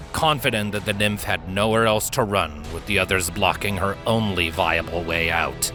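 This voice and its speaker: A man reading a story aloud as a narrator, with a suspenseful, theatrical delivery. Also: low voice. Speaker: fan fiction reader and narrator. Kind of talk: dramatic scripted story narration.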